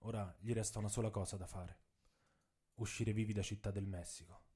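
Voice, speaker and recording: A man's voice: fairly low pitch, steady tone, brisk, very noisy. Man narrating a dramatic scripted story. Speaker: narrator and author reading own text.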